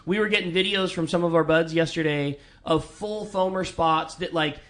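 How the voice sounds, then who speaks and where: A man in his thirties with a loud, powerful voice, co-hosting a fishing radio show and telling stories in a laughing, upbeat way. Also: fairly high pitch, steady tone, normal speed. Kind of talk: casual radio chat.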